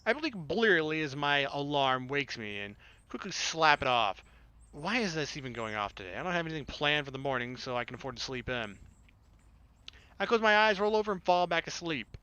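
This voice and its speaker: A man's voice: fairly high pitch, sing-song, brisk, pushed and bright, clean tone, no echo. A young man reading a visual novel aloud as a let's player, with casual, irreverent asides. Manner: playful, irreverent. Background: music.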